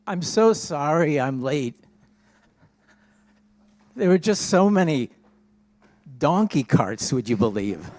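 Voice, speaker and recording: A man playing a flustered, irreverent disciple in an amateur dramatic reading, halting and exclamatory, with flippant asides. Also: on the high side, very animated, darkish tone. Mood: flustered, apologetic. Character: flustered, irreverent male disciple arriving late.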